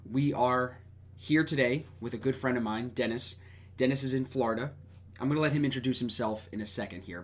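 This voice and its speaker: A man hosting a podcast, talking in a relaxed, friendly way with lots of casual filler words. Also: medium pitch. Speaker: podcast host.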